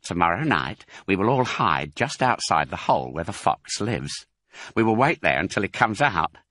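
A man's voice: deep voice, big pitch swings, a bit bright, no room sound, clean studio sound, full character voice. A man voicing a thin, crafty farmer who drawls his schemes smugly in a storybook. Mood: smug, scheming. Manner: smug, drawn-out.

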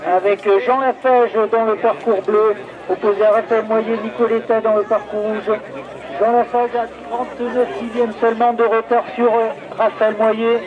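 Announcer: high-pitched man calling ski duels live, steady monotone delivery, muffled sound over spectator noise. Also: normal speed, a crowd in the background. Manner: announces racers, lanes and time gaps, with fillers. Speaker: race announcer. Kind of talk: live race commentary over loudspeaker. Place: outdoor ski slope. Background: spectators on the slope.

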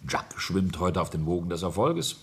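Deep-voiced, smug businessman patriarch. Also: very animated, moderate pace. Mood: smugly sly. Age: middle-aged. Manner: jovial, pompous, ironic. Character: self-satisfied businessman father, smoothly ironic patriarch. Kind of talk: German radio play acting.

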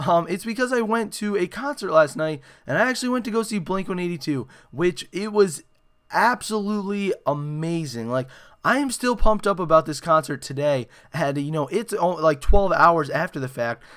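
A young man with a hoarse, raspy voice, giving excitable gameplay commentary full of "you know" and "uh". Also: high-pitched, moderate pace. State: hoarse after a concert. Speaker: gaming channel host. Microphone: desktop microphone.

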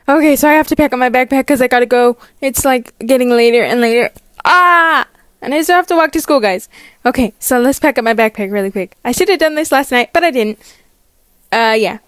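Young female creator, high smooth voice, bubbly casual roleplay vlog delivery, close dry sound. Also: no echo. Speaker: story channel creator voicing a character.

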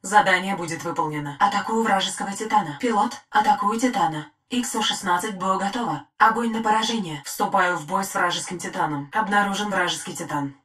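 Composed game war-machine AI played by a woman, female, medium pitch, crisp scripted battle reports. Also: fairly quick, few pauses, fairly bright tone, natural voice. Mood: alert, urgent. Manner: crisp, formal military reporting. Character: female combat robot titan AI, loyal, composed. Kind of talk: short scripted combat callouts.

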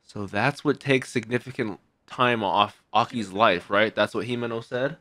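A young man reacting out loud to an anime episode, exclaiming and swearing casually into a boom mic in a home streaming room. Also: moderate pace. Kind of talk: excited reaction commentary. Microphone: boom mic with headphones. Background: anime soundtrack playing.

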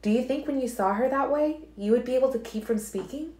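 A calm, unshakable young seer with a higher, lighter voice, put on by a woman narrator. She speaks gently but will not budge. The recording has a roomy echo. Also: fast, lightly acted. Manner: calm, implacable.

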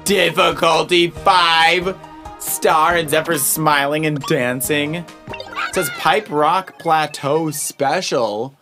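A child hosting a Let's Play, giving excitable gameplay commentary and often repeating words. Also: medium pitch, slow pace. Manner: exclamatory, repeats words and phrases. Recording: loud game music behind the voice. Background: video game music.

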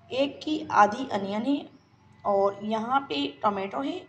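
A woman, hosting a home cooking tutorial and calmly giving the viewer step-by-step instructions. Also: on the high side, slight background noise. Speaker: cooking channel host. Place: home kitchen.

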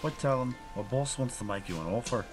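A man's voice: medium pitch, animated, fairly quick, music in the background, lightly acted. A man playing a jittery Irish gangster. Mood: indignant. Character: nervous hotheaded Irish gangster informant. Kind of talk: 1930s radio drama acting.

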